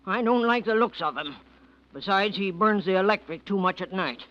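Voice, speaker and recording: Peevish, high-voiced American landlord. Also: male voice, expressive, moderate pace. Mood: wary, grumbling. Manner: peevish, ungrammatical. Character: suspicious, grumbling miserly landlord husband. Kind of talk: 1940s radio acting. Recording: very thin phone-like old radio.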